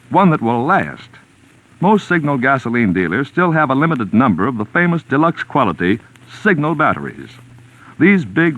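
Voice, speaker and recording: A man's voice: mid-range voice, a bit bright. A man with an American accent, announcing in a polished, persuasive 1940s radio sponsor style. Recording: muffled vintage 1940s radio recording.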